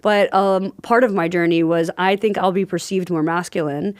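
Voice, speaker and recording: A woman hosting a podcast, chatting casually, filling pauses with "um" and laughing easily. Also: on the low side, steady tone, smooth, dry recording, clean studio sound. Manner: chatty, fills with "um" and "I'm like". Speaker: podcast host. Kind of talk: casual interview chat.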